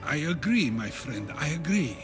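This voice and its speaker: Lighter-voiced, animated ancient Greek man. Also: a little high, normal speed, over music. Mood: concerned. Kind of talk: dramatic video game acting.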